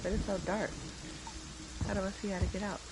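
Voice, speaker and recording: A woman's voice: medium pitch, little pitch movement, fairly quick, heavy background noise. A woman chatting in a blunt, slangy, questioning way while she plays a video game. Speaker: game player. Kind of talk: casual chat while playing a video game. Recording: music clearly behind the voice. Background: music.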